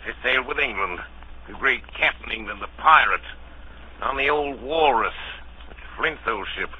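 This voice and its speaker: An actor playing a jovial, sly old one-legged sea cook, with a salty sailor's drawl and folksy, ingratiating manner in old radio style. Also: male voice, mid-range voice, moderate pace, a little hiss or hum, close to an everyday voice. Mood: boastful, relishing. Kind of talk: 1930s radio drama acting. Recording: dull, muffled vintage radio broadcast.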